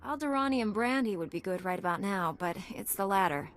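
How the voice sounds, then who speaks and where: Composed, mid-pitched heroine played by a woman. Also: fairly quick, slight background noise, natural voice. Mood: dry, calm. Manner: calm, self-assured, dry. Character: composed, dutiful female Jedi knight, dryly confident. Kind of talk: video game character acting.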